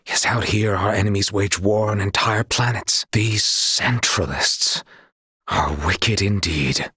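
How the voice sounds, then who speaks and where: An earnest young knight for a video game, loyal and honorable. His voice sits on the low side with a husky grain. He speaks in courtly, old-fashioned phrases, recorded very dry. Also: slow pace, sharp tone, no echo, exaggerated voice. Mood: grave, resolute.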